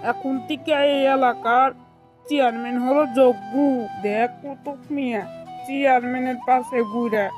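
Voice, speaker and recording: A woman's voice: high voice, fairly even intonation, normal speed, natural voice. A woman voicing a chatty elephant uncle in a cartoon, giving familiar advice. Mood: chatty, advising. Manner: familiar, advising a younger relative. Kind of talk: fairy-tale cartoon dialogue. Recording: dull lo-fi sound under music.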